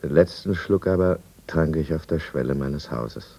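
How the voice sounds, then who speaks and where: An actor playing a remorseful drinker narrating his own downfall in a 1950s German radio drama, measured and brooding. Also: extremely low pitch, gravelly, warm tone, husky, exaggerated voice. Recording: dull, muffled 1950s radio recording.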